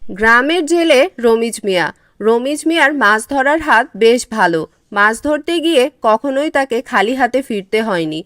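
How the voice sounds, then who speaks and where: A woman's voice: fairly high pitch, lively intonation, moderate pace, a bit bright, dry recording, clean studio sound. A woman giving scripted storybook narration as the narrator of a cartoon.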